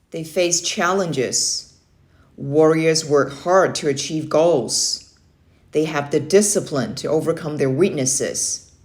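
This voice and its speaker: A woman's voice: sits low, darkish tone. A woman voicing a stern, motivating young Navy uncle in a children's story read-aloud, firm and emphatic.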